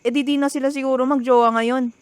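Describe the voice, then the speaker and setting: A woman's voice: high voice, steady tone, fast talker, smooth, no room sound, natural voice. A woman with a Filipino accent playing an outspoken young sister in a radio drama. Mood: scolding. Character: outspoken young sister scolding her big brother.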